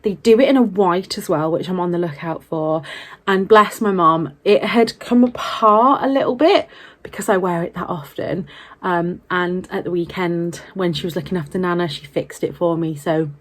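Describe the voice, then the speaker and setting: A woman's voice: on the low side, normal speed. A middle-aged woman with a British accent chatting casually to camera as a vlogger, candid and self-deprecating. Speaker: book vlogger. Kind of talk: casual vlog chat to camera.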